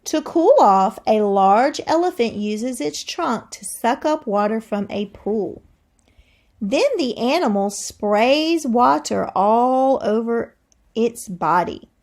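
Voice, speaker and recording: A woman teacher reading aloud to young children in a deliberate, expressive way, thinking aloud and putting questions to her listeners. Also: a little high.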